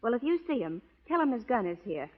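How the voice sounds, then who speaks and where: High-voiced, devoted frontier schoolteacher. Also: female voice, sing-song, fast. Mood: earnest. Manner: polite, earnest. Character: caring, devoted western schoolteacher. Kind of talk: 1940s radio drama acting. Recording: muffled vintage radio broadcast.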